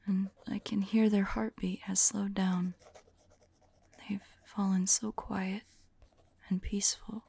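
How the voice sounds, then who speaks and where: ASMR roleplay: ancient female vampire played by a woman, tender and sorrowful, mellow whispery voice with some creak, intimate and hesitant. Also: medium pitch, no room sound, exaggerated voice. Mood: hushed, worried. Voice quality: soft, breathy, whispery. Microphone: close microphone. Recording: close, dry microphone.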